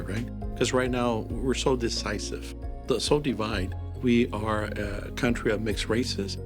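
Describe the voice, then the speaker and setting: A man running a social service agency, answering interview questions in an earnest, advocating manner. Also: medium pitch. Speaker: agency CEO.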